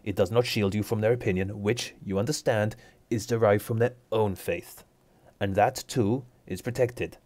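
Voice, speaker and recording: A man voicing a stern aristocratic lord who passes judgment in a measured, authoritative way. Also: fairly low pitch, fairly even intonation, darkish tone, no echo, natural voice. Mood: patient. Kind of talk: tabletop roleplay character voice.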